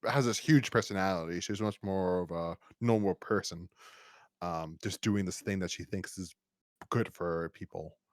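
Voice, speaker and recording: Man, fairly low pitch, casual podcast discussion, rambling, stumbling restarts, dry recording. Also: dry recording. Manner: rambling, halting with frequent false starts and repeated words. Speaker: podcast co-host. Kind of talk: casual review discussion of an audio drama.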